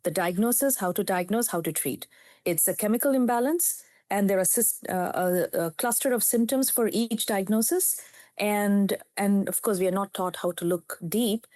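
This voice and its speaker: A woman's voice: mid-range voice, moderate pace, a little mellow, no room sound, studio quality. A woman in her fifties from India, a native Telugu speaker with an Indian English accent, telling her story as a psychiatrist guest in an interview in an earnest, reflective way.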